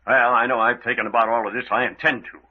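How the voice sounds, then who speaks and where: An actor playing a stern, blunt adventurer hero in an old-time radio mystery, with a firm, clipped, formal delivery and moral indignation. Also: male voice, medium pitch, flat delivery, fast, natural voice. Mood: accusing. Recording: dull, lo-fi old radio recording.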